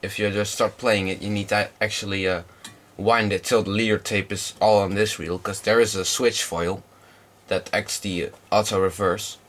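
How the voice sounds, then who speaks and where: A young man presents in English with a fairly low voice. He has a slight cold and talks informally, feeling his way through explanations like a hobbyist showing off equipment. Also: level tone, moderate pace, fairly bright tone, a little hiss or hum. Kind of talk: casual product demonstration.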